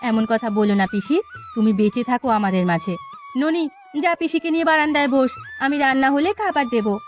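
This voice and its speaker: A woman voicing a brisk, caring village mother with a chiding manner in a cartoon. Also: sits high, close to an everyday voice. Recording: music under the voice.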